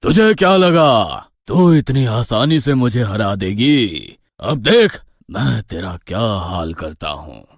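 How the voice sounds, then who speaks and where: Man playing a grave, animated village tantric. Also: mid-range voice, slow pace, hardly any pauses, dry recording, studio quality, full character voice. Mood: stern, threatening. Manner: grave, resolute, commanding. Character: stern, protective male village tantric holy man. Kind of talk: animated horror story voice acting.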